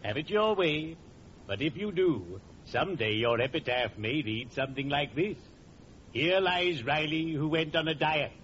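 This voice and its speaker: A man's voice: fairly high pitch, measured pace, fairly bright tone. A man playing a cheerfully ghoulish, genteel undertaker in 1940s radio comedy, with formal, theatrical diction. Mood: dryly ominous. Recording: muffled, faintly noisy old radio recording.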